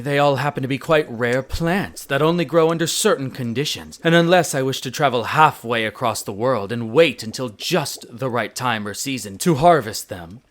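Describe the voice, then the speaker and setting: A man's voice: medium pitch, lightly acted. A man playing a haughty, teasing elf archaeologist in a scripted audio roleplay, speaking pompously and precisely to the listener. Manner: pompous, precise, theatrical enunciation. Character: tsundere male elf archaeologist-alchemist, haughty, brisk, teasing scholar.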